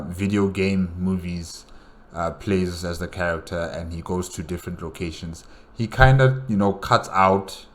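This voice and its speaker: A man with a low voice hosts a reaction video. He talks casually and hesitantly, circling back over phrases as he rambles through his thoughts.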